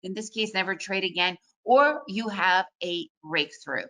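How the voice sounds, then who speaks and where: A woman raised in the United States with Russian as her first language, giving a chatty, energetic webinar talk on trading. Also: mid-range voice, a little slow. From Soviet Union, raised in the United States. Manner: energetic, chatty, addresses audience as guys. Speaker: trading educator presenting a webinar. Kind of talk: casual webinar presentation.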